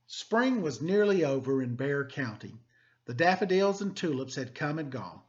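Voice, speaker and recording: A man's voice: on the high side, very animated, moderate pace, dull audio. A man hosting story time, reading a picture book aloud to children with playful, self-deprecating, chatty asides.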